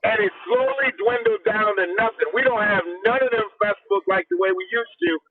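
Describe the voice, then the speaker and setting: A man with a notably high voice calls in over a thin, tinny phone line. He talks in an animated, profane way, tossing in laughing asides as he makes his case. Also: brisk, crowd noise. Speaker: guest.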